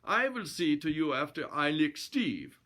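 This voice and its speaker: A man's voice: fairly high pitch, big pitch swings, measured pace, voice pushed up, heavily acted. A man voicing a huge, dim, belligerent Swedish sailor in a storybook, with a blustering mock-Swedish accent. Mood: defiant, blustering. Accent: put-on mock Swedish, slipping toward Irish. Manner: loud, blustering.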